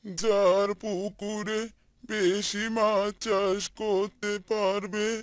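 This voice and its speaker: Elderly village patriarch, played by a man, patient and scheming, unhurried cartoon delivery over clear background music. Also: sits high, little pitch movement, no room sound, studio quality. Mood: solemn. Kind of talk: Bengali cartoon voice acting.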